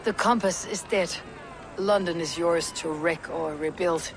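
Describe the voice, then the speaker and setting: A woman playing a calm Viking warrior leader in a video game, with a low husky voice and a measured, stately manner. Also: crowd noise, noisy background, natural voice. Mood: composed. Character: calm, steady Viking warrior woman leading her clan. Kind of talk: dramatic video game acting.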